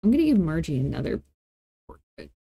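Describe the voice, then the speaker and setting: Mellow, low-voiced woman giving casual tutorial. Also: very animated, brisk, creaky, breathy edge, no echo. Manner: informal, playful, thinking aloud. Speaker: tutorial host. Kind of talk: casual screen-recorded software tutorial.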